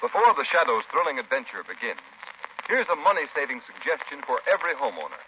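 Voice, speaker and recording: Old timey radio announcer guy, selling hard, swooping pitch, hissy 30s recording. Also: very animated, fast talker, muffled recording. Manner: emphatic salesman delivery. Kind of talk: 1930s radio scripted ad and narration.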